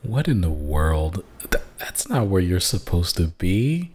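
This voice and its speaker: A man's voice: very animated, a little slow, a little mellow, breathy edge. A man at home practicing voice acting, reading the same short lines over and over in different emotions, often stammering and restarting. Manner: stammering restarts, swinging pitch between takes. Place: home room. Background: dogs, keyboard clicks, chat pings.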